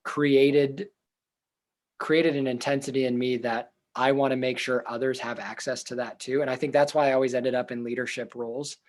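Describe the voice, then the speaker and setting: A young man, a therapist, talking earnestly in an interview with a loud voice, thinking aloud with fillers and restarts. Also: mid-range voice, flat delivery, normal speed. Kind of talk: reflective casual interview talk.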